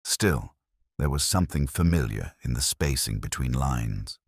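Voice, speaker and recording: A man narrating a scripted first-person detective story in a measured, literary, reflective way. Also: a bass voice, expressive, vocal fry, breathy edge, dry recording, studio quality.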